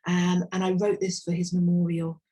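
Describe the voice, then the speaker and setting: A middle-aged woman from England performs her own spoken-word poem in a slow, intimate, reflective way. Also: fairly low pitch, completely flat delivery, warm tone. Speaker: poet. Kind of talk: spoken word poetry reading.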